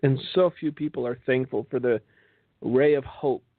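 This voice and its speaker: A man hosting a religious broadcast, delivering political and religious commentary in a sardonic, rhetorical way with dramatic pauses. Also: medium pitch, steady tone. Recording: thin phone-like band, echoey room.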